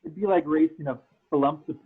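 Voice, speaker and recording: A man's voice: fairly high pitch, a little fast, husky. A man playing a cocky, scornful alien pod racer in a table read over a video call, dismissive and taunting. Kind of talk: fan fiction table read. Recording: thin phone-like video call audio.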